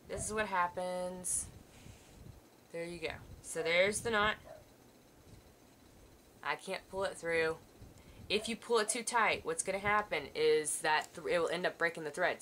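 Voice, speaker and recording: Bright-voiced woman teaching sewing. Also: on the low side, a little hiss or hum. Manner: patient, reassuring, talks while working hands-on. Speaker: art teacher. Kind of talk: step-by-step sewing demonstration. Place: indoors at a table, overhead camera on hands.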